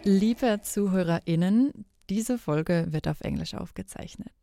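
A woman hosting a podcast interview in a studio, calmly asking open questions. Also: medium pitch, measured pace, vocal fry, soft background music. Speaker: podcast host. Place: recording studio.

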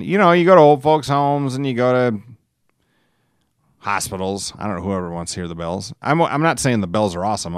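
A man's voice: on the low side, smooth, no echo, clean studio sound. A middle-aged man hosting a podcast, telling casual, rambling stories in a folksy, profane, self-deprecating way. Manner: chuckles while telling stories. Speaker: podcast host.

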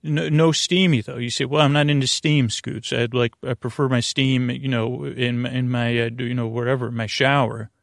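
Man, gravelly creak, close dry sound, halting bedtime monologue, whimsical tangents, podcast host. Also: medium pitch, flat delivery, dry recording. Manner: meandering, halting, full of restarts and tangents; whimsical, punning, silly asides.

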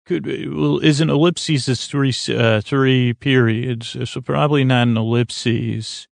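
A man hosting a sleep podcast in a lulling, soothing way, rambling unscripted, stumbling and trailing off mid-thought with gentle self-deprecation. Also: medium pitch, fairly even intonation, a little slow, gravelly, no room sound, clean studio sound. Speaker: podcast host.

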